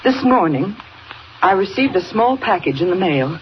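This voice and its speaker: A woman's voice: mid-range voice, big pitch swings, moderate pace, natural voice. An actress playing a worried, polite sister of a missing man in 1940s radio style, hesitant and earnest. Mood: anxious, polite. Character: anxious, polite twin sister of missing explorer. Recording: muffled, noisy 1940 radio recording.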